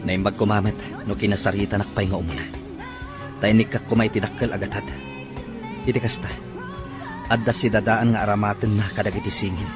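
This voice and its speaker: An actor playing a troubled husband who speaks in a subdued, brooding way. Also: male voice, fairly low pitch, steady tone, fairly quick, long pauses, music in the background, natural voice. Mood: worried. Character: troubled husband, cold to his wife.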